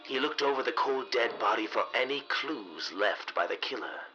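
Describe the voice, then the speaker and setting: A man narrating a 1930s radio mystery as scripted storytelling, dramatic and measured. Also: mid-range voice, lively intonation, pushed and bright, dull audio, slight background noise.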